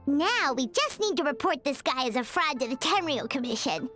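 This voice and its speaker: Squeaky, bubbly tiny fairy girl companion. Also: sing-song, normal speed, a bit bright, faint music behind. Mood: upbeat, confident. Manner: speaks of herself in third person, exclaiming, cutesy. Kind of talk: video game character acting.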